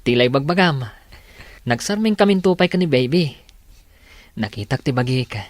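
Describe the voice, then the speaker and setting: A man's voice: medium pitch, expressive, normal speed, no echo, clean studio sound, close to an everyday voice. An actor with a Filipino accent playing a kind, devoted, teasing man in a radio drama. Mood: tender, teasing. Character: kind, devoted man, helpful older brother, teasing suitor.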